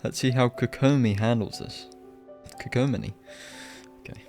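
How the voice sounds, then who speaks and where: A man's voice: mid-range voice, moderate pace, darkish tone, soft background music. A man giving casual live game commentary as a streamer, offhand and sardonic, swearing now and then.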